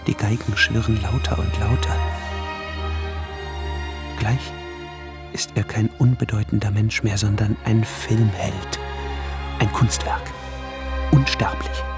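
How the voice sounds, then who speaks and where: An actor playing a brooding murderer who solemnly narrates his own fate like a film script in a radio drama. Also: fairly low pitch. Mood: hushed, fateful.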